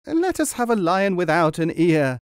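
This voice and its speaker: A whiny man flinching at every needle prick, voiced by a male storyteller, high and loud in his complaints. He moans through each plea in a dry, close recording. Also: big pitch swings, moderate pace, clear, resonant tone, no echo. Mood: whining. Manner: moaning, complaining. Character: whining, pain-averse man getting a tattoo.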